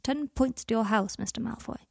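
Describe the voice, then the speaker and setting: A woman voicing a proud but fragile wizard father, wry and refined, tender with his son and quick to defend himself. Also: fairly high pitch, creaky, darkish tone, no echo, clean studio sound.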